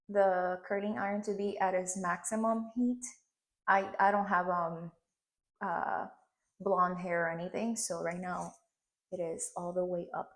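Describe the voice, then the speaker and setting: A woman in her thirties from Puerto Rico, a Spanish speaker with a Puerto Rican accent, teaching a hairstyling tutorial and checking in often with "okay?". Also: medium pitch. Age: 30s. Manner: instructive, checks in with frequent "okay?". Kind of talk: hands-on tutorial demonstration.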